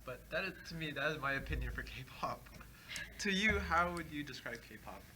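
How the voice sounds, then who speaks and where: A man's voice: a little high, lively intonation, normal speed, pushed and bright, a little hiss or hum, rough recording. A teenage boy hosting a casual interview, asking loose questions with many hums and fillers. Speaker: host.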